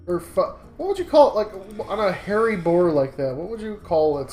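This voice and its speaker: A man's voice: high-pitched, big pitch swings, a little fast, a little mellow. A man in casual co-host banter, joking and acting out mock reactions. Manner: jokey, acts out mock reactions. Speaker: gaming commentary co-host. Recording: roomy echo, faint music. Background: faint music.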